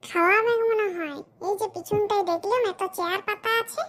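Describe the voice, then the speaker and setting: Cartoon village boy, extremely high squeaky voice, sharp tone, brash, mischievous, rural West Bengal accent. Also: fairly quick, slight background noise. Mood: cheeky grumbling. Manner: brash, grumbling colloquial banter. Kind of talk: comedy cartoon dialogue.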